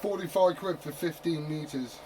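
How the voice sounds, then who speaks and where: A man's voice: fairly high pitch, a little slow. A man chatting casually and swearing freely over CB radio in slangy talk. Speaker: amateur CB radio operator. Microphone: CB radio set. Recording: noisy CB radio transmission, heavy static.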